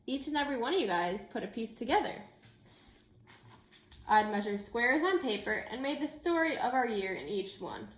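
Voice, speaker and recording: Woman, elementary teacher type, higher register, story read-aloud with informal asides to children, roomy echoing sound. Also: sing-song, normal speed. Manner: addresses children directly and informally. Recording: echoey room.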